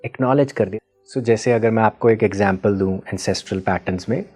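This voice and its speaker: A man's voice: lowish voice, fairly quick, a little mellow, soft background music. A man as the interview guest, patiently explaining ideas and often checking in with the listener, mixing in English terms. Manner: patient teacherly explaining with frequent checking questions; mixes Hindi with English terms. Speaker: guest expert on ancestral healing.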